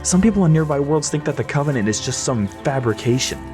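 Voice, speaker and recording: A man's voice: a little high, expressive, quick pace, over music, lightly acted. A man playing an idealistic, defiant teenage son with earnest delivery in an audio drama. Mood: challenging.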